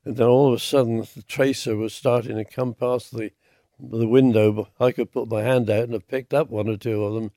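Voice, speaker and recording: A hoarse man in his nineties, a war veteran, reminiscing in an interview with halting, unhurried speech. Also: medium pitch, fairly even intonation, dark tone, dry recording, studio quality. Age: 90s. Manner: halting, unhurried, restarts often.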